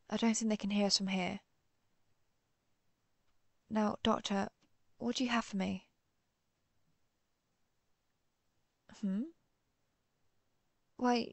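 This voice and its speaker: A woman playing an earnest, conflicted vampire hunter who is herself a vampire, speaking formally with hesitant pauses in a dramatic audio roleplay. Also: mid-range voice, creaky, no echo. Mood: serious, questioning. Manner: formal, old-fashioned wording, hesitant pauses. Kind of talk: dramatic audio roleplay, one-sided dialogue.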